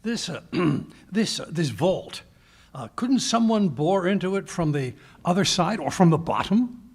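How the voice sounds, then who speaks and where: An actor playing a flustered, nervous English gentleman, stammering and blustering in the style of 1940s radio drama. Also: high voice, expressive, unhurried, creaky, slightly rough, heavily acted. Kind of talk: 1940s radio drama acting, performed live.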